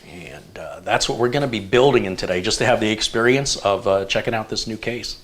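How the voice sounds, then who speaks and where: A man hosting a live stream, chatting casually and thinking aloud with relaxed fillers. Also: lowish voice, a little fast. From United States. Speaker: show host.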